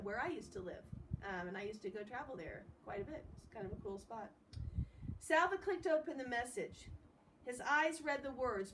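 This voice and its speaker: A woman reading a story aloud expressively, in the manner of a read-along with informal commentary. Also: a little high. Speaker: storybook reader. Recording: distant mic with faint background noise.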